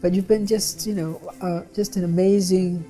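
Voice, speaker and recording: A woman's voice: on the low side, fairly even intonation, normal speed, warm tone, soft background music. A woman hosting an online knitting call, chatting and interviewing a longtime collaborator. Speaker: knitting designer and class leader. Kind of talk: casual call presentation and interview.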